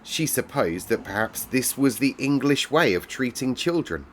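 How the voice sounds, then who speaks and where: A man reading a classic children's story aloud as narrator, in a measured, expressive storytelling manner. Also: mid-range voice, slight background noise.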